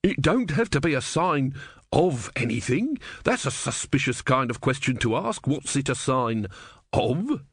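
A man voicing a stubborn old man with a wooden leg who speaks in a gruff, insistent way. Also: medium pitch, very animated, measured pace, slight background noise, pitched above the performer's own voice.

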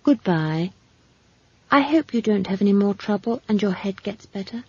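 A narrator voicing a stubborn, earnest nine-year-old girl who speaks gravely and persistently in a storybook reading. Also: mid-range voice, expressive, moderate pace, no echo, muffled recording, voice pushed up.

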